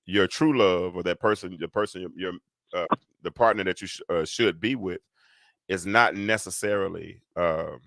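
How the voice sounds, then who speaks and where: A man's voice: sits low, moderate pace, vocal fry, fairly bright tone, dry recording, studio quality. A man hosting a casual live talk, rambling with lots of fillers and restarts. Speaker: host.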